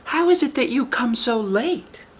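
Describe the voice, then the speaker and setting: Storybook child: man plays a wary, polite eldest little girl, pitched way up, questioning, lively intonation. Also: measured pace, slightly rough, heavily acted.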